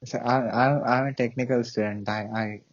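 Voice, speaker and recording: A man hosting a casual online interview, speaking haltingly with frequent restarts and "uh"s. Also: mid-range voice, fairly even intonation, lo-fi sound. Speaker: interview show host.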